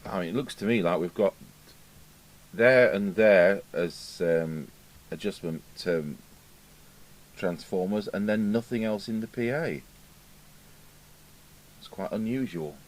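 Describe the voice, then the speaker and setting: A middle-aged British man giving an informal, unscripted hands-on demonstration, musing aloud with hesitant pauses. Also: lowish voice. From United Kingdom. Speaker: radio repair technician. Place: indoor radio repair workbench. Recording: clearly noisy home workshop recording.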